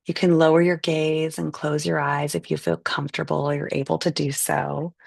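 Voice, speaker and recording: A woman with a lowish voice and plenty of vocal fry talks a group through a meditation. Her instructions come slow and gentle, and the sound is dry and close, with no room around it. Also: flat delivery, no echo. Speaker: meditation guide. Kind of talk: live guided meditation.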